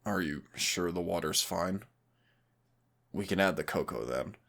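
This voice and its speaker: A man's voice: sits low, unhurried, vocal fry, a bit bright, heavily acted. A man playing an affectionate, cautious and playful boyfriend in an intimate audio roleplay, speaking in a tender, reassuring, conversational way. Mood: caring, reassuring.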